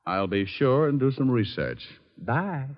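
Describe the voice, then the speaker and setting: Wisecracking radio private eye, lo-fi. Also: male voice, mid-range voice, sing-song, a little slow. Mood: flirty, evasive. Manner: glib, breezy, sardonic wisecracks. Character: wisecracking, flirtatious male private detective, cocky and unflappable. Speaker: lead actor and first-person narrator. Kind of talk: 1940s-50s radio detective acting. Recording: vintage radio broadcast, dull lo-fi, music.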